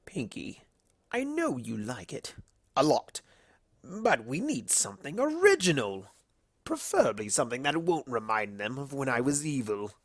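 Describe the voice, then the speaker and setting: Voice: mid-range voice, sing-song, normal speed, dry recording. The storyteller voicing a sly, mischievous male spirit of chaos, smug and theatrical, in a cartoon fan story. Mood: dismissive, musing.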